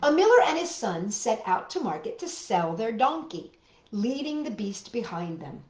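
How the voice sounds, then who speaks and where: A woman's voice: a little high, very animated, moderate pace. A woman hosting a children's storytime, telling and explaining things simply and expressively to young listeners. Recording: roomy echo, slightly dull sound.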